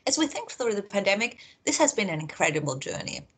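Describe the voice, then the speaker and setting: Husky-voiced woman with an Austrian accent. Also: mid-range voice, very animated, fast talker, a bit bright. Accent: Austrian German. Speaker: research vice president. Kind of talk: prepared talk to camera. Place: home office.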